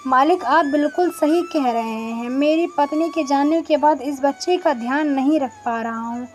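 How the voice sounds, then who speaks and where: A woman's voice: sits high, normal speed, darkish tone, soft background music, close to an everyday voice. A woman voicing a humble, trusting widowed male potter, deferential and earnest, in a moral story.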